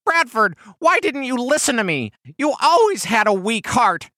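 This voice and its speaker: A man's voice: fairly high pitch, wildly swooping pitch, moderate pace, sharp tone, no room sound, clean studio sound, voice pushed up, full character voice. A man voicing a protective, exasperated male turkey in an animal story, urgent and scolding. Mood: alarmed. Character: protective, exasperated male turkey, wary older-brother type.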